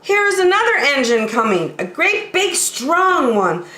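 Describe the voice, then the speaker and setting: A woman's voice: sits high, measured pace, echoey room, voice pushed up, heavily acted. A woman voicing an eager, pleading little toy clown in a children's storybook. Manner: excited, pleading. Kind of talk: children's storybook read-aloud.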